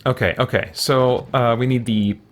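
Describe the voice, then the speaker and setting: Man, medium pitch, casual gaming commentary, thinking aloud, hesitant muttering, mild exclamations. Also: steady tone, moderate pace. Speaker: gaming video host.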